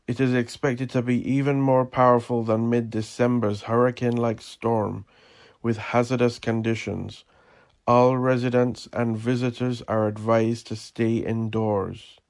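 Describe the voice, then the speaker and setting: A man's voice: medium pitch, flat delivery, unhurried, darkish tone, lightly acted. A man voicing a sing-song radio weather announcer in an audio drama, projecting a storm bulletin. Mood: sing-song, urgent.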